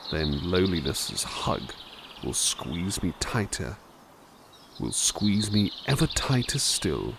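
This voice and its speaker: Soft-spoken kindly butler, played by a man: low, slow and unhurried, thoughtful poetry reading for ASMR. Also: gravelly, noisy background, exaggerated voice. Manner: soft, musing, thoughtful asides between poems. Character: gentle, kindly male butler reading aloud.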